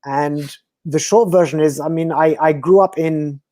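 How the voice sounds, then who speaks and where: A man from Belgium, a guest speaker talking earnestly and philosophically in slow, emphatic phrases. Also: fairly high pitch, steady tone, warm tone, dry recording. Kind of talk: reflective conversational talk.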